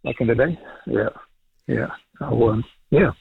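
A man's voice: mid-range voice, a little slow, creaky, narrow, tinny audio, slight background noise. A middle-aged man with a Dutch accent, a farmer calling in to a radio show, talking casually with many ums and restarts. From Netherlands. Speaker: dairy farmer, caller. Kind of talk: casual radio phone chat.

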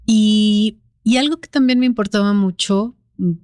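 A Mexican woman author being interviewed, speaking in a reflective, thoughtful way with pauses and restarts. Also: mid-range voice, fairly even intonation, a little mellow, clear, resonant tone, dry recording, studio quality. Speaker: author, guest. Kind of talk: interview conversation.

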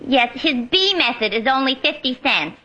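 An actress playing a brash, gullible, vain would-be singer, earnestly oblivious, in old-time radio comedy style. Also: female voice, high-pitched, expressive, normal speed, muffled recording. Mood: proud, earnest. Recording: noisy old radio recording.

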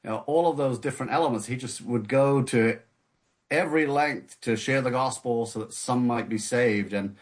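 A man's voice: mid-range voice, normal speed. A man from the United Kingdom, a guest author in an interview conversation, speaking thoughtfully with frequent fillers and restarts. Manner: hesitant, many restarts and fillers.

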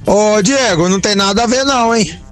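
A Brazilian truck driver with an interior São Paulo accent speaks in a high-pitched male voice. He talks casually, as in a voice message. Light music sits behind him. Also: a little fast. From interior of São Paulo state, Brazil.

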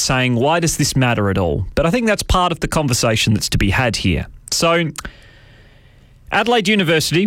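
A man hosting a talk radio show, speaking with a hoarse, raspy voice in a measured, explanatory way. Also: mid-range voice, fairly bright tone, no room sound, clean studio sound. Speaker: radio host. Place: radio studio.

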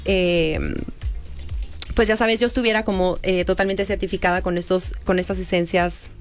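Earnest young woman with hesitant pauses. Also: fairly low pitch, steady tone, soft background music. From born in San Diego, raised Mexican. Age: young adult. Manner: earnest, many "eh" pauses and restarts. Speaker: perfume creator, guest. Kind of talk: interview answers.

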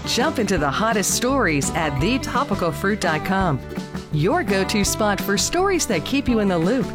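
Lively upbeat promo woman. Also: mid-range voice, moderate pace, over loud music. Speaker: promo announcer. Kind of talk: scripted podcast promo.

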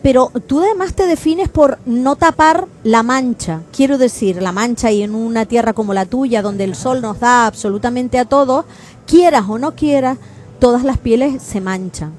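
Woman radio host, lively and talkative, slightly high voice. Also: normal speed. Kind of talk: radio interview.

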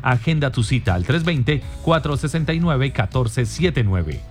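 A man co-hosting a morning radio show, reading traffic reports and ads in a steady, clear way. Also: medium pitch, unhurried, faint music behind. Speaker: radio co-host.

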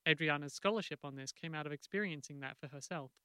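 A man presenting a radio segment, moving between scripted narration and interview questions in a crisp, measured delivery. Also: on the high side, sharp tone, dry recording.

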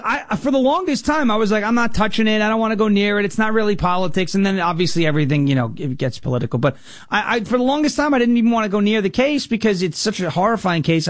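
An American man with a raspy voice from New York, hosting a talk radio show in an emphatic, jokey way. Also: high-pitched, big pitch swings, quick pace, dry recording, studio quality. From New York, United States. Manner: emphatic, jokey, slips into impression bits. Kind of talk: political talk show monologue with comic bits.

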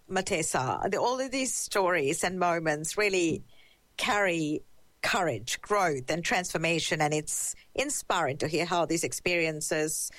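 A woman hosting an interview, encouraging her guest with warm affirmations. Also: on the low side, no echo. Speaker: podcast host, interviewer. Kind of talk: conversational interview.

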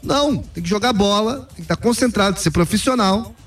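A man's voice: high voice, a little fast, faint music behind. A man talking football as a pundit in casual sports talk, opinionated and emphatic. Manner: opinionated, emphatic, repeats "cara".

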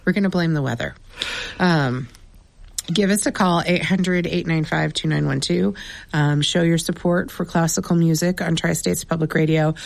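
A woman managing a radio station, making an earnest on-air pledge appeal with many fillers, her voice raspy as she loses it. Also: sits low, steady tone, moderate pace, no echo. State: losing her voice.

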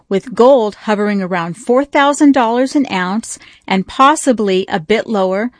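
A woman reads a radio commercial calmly, laying out facts at an even pace. Her voice is mid-pitched and the sound is dry, close and free of room. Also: dry recording. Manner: calm, informative delivery. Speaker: radio ad announcer.

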